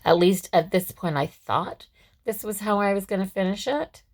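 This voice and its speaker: A woman with a scratchy, hoarse voice, chatting through a craft tutorial at her work table with lots of fillers and restarts. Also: mid-range voice, normal speed. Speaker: craft vlogger. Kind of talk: casual step-by-step craft tutorial.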